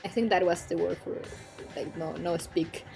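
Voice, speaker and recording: A woman's voice: lowish voice, fairly even intonation, moderate pace, vocal fry, mellow, soft background music. A young woman whose first language is Spanish, speaking English with a Spanish accent as she chats casually with her stream viewers, rambling and laughing often. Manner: giggly and rambling, often laughing between lines.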